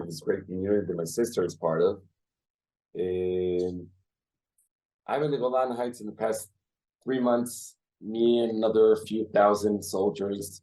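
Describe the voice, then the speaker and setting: A man from Israel speaking haltingly as a reserve soldier guest in an interview, with many fillers. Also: low voice, warm tone, far from the mic, poor audio. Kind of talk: remote interview answer.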